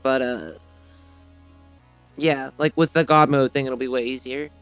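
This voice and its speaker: A slightly hoarse man streaming a game, reading its story text aloud and then chatting casually, rambling and correcting himself with many fillers. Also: medium pitch, fairly even intonation, measured pace, a bit bright, no echo, faint music behind.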